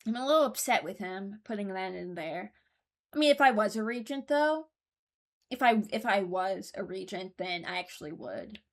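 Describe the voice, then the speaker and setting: A woman's voice: mid-range voice, expressive, moderate pace. A woman hosting a casual reaction video, speaking informally and thinking aloud as she gives her opinions. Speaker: video host ranking game voice lines.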